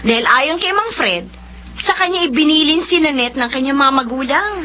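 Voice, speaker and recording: An actress playing the heroine's loyal, outspoken best friend in a radio drama. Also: female voice, high-pitched, normal speed. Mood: pressing. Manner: indignant, lively. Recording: muffled lo-fi audio, faint music.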